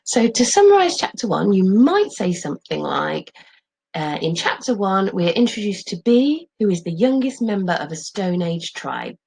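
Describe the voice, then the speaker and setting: A middle-aged woman speaking as a teacher, explaining and reading aloud in a clear, instructive way with expressive phrasing. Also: mid-range voice, normal speed, rough recording.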